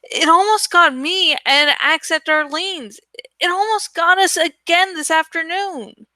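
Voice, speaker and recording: A woman voicing a frustrated, sharp-tongued and anxious teenage boy in a read-aloud adventure story. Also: very high voice, a little slow, dry recording, voice pushed up, heavily acted. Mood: tense.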